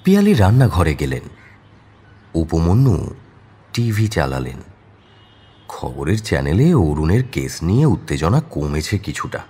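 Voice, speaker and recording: A man's voice: deep voice, wildly swooping pitch, a little slow, pauses often, mellow, husky. A man narrating a scripted crime audio story in a dramatic storytelling style.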